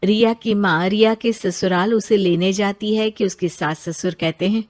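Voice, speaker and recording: A woman's voice: mid-range voice, fairly even intonation, moderate pace, a little mellow. A woman narrating a scripted story.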